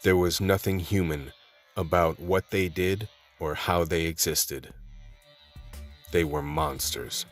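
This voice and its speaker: An actor playing a world-weary undercover vice cop, telling his story in a measured, hard-boiled way for a radio play. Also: low voice, fairly even intonation, faint music behind. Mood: grim, measured. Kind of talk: scripted radio play narration.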